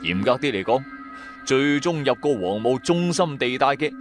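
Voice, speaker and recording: Animated Cantonese male storyteller. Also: mid-range voice, moderate pace, faint music behind. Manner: theatrical, expressive. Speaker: audio drama narrator. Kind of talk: dramatic scripted storytelling.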